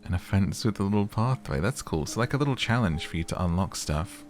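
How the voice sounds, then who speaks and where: A man with a deep, low voice talks his way through a calm exploration game, easygoing and chatty, turning to his viewers now and then. Gentle game music plays clearly behind him. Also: a little fast. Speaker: gaming YouTuber. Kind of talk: relaxed game playthrough commentary. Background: soft game music.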